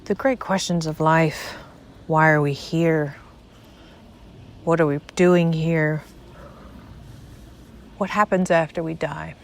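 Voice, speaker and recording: A woman narrating a spiritual guided meditation in a measured, deliberate way, pausing between short phrases. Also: low voice, fairly even intonation.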